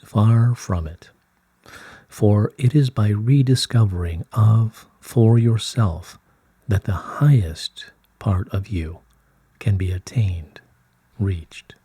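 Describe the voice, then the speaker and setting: A man reading the part of a serene, wise collective of light beings in formal, measured, solemn phrasing from a scripted dialogue. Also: lowish voice, mellow, husky, no room sound. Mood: patient guidance. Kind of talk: scripted channelled dialogue read aloud.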